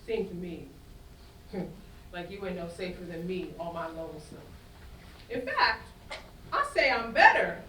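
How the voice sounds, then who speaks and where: A woman's voice: fairly low pitch, big pitch swings, unhurried, long pauses. A woman acting a bold, teasing, tough gun-toting woman in a staged play reading. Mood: boastful menace. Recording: roomy, distant stage recording with faint noise.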